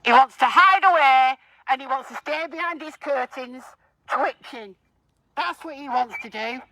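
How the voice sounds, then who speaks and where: A woman's voice: sits high, no room sound, rough recording. A woman gives a confrontational, accusatory protest speech out on a street, addressing bystanders through a megaphone. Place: outdoors on a residential street.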